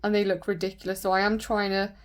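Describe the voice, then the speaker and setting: A woman with a croaky voice from a sore throat, chatting casually and rambling with lots of fillers while doing her nails. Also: mid-range voice, flat delivery, fast. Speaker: nail vlogger.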